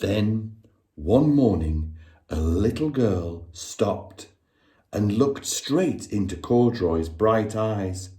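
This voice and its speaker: A man reading a children's story aloud like a teacher, dramatic and expressive, drawing his young listeners in. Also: fairly low pitch, slow pace. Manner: dramatic, inviting listeners to join in.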